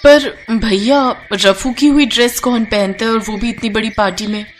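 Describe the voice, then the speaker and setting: A woman's voice: on the high side, fairly even intonation, normal speed, soft background music, natural voice. Fretful, ambitious young model voiced by a woman. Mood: doubtful. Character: anxious, ambitious young female model, easily flustered. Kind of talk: cartoon story dialogue.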